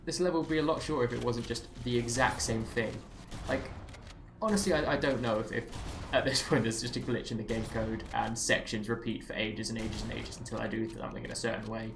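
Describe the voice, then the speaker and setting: A man's voice: medium pitch, steady tone, fairly quick, creaky, background noise. A man with a Southeast English accent tinged by American speech, giving chatty, rambling live commentary as he plays a game, with wry self-mocking asides. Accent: Southeast English, slightly Americanized. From England. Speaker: game streamer. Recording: roomy echo with game music behind. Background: game music.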